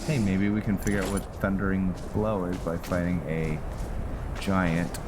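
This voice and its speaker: A man giving casual gameplay commentary as host, thinking aloud in a halting way, with game music behind him. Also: sits low, flat delivery, very noisy. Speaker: gaming channel host.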